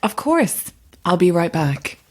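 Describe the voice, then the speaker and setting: A woman's voice: lowish voice, sing-song, takes it slowly, a little mellow, a little hiss or hum. A woman playing a coolly menacing, controlling hostess in a horror audio drama, polite, composed and insistent. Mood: polite, controlled.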